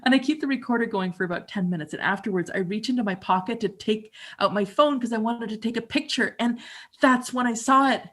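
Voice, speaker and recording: A woman in her fifties from Canada, performing a conversational first-person monologue with dramatic pauses. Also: fairly high pitch, a bit bright. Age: 50s. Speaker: storyteller and musician.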